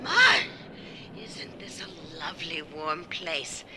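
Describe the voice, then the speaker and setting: A woman's voice: lowish voice, huge pitch swings, takes it slowly, very noisy, exaggerated voice. A woman playing an eccentric, cheerful, mysterious old wanderer in an audio drama, chatty and whimsical. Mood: cheerful, settling in.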